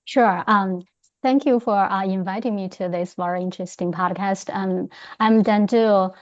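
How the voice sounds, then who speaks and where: A woman's voice: mid-range voice, steady tone, normal speed. Woman with Chinese accent, muffled recording. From China. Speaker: interview guest, history professor. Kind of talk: conversational interview answers.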